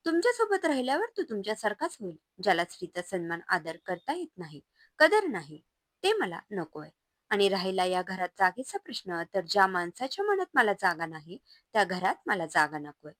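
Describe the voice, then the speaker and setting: Woman doing a fed-up young wife, firm and defiant, lively voice, dry sound. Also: mid-range voice, normal speed, dry recording. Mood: resolute. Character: hurt but resolute young wife and mother.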